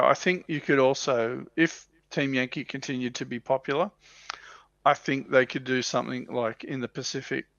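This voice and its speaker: A man's voice: mid-range voice, fairly bright tone, no echo, dull audio. A man co-hosting a casual livestream chat, thinking aloud in a hesitant way. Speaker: livestream co-host. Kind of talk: casual panel chat about tabletop wargaming.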